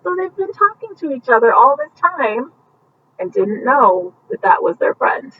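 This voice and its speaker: A woman reads a bedtime picture book at a slow pace. She pauses for casual, gentle asides about the pictures. The recording is muffled and picked up from some distance, with faint noise behind it. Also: high-pitched, very animated. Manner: gentle explaining, pointing out pictures as to young children. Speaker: storybook reader.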